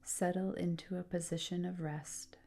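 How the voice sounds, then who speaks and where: A woman guiding a relaxation meditation in a calm, soothing manner, speaking in deliberate phrases with pauses. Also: lowish voice, gravelly. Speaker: yoga nidra guide. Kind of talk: guided relaxation meditation, scripted narration.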